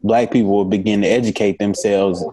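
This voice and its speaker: Low, mellow-voiced young man. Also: brisk. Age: young adult. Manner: relaxed, filler-heavy storytelling. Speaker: podcast co-host. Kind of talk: casual chat.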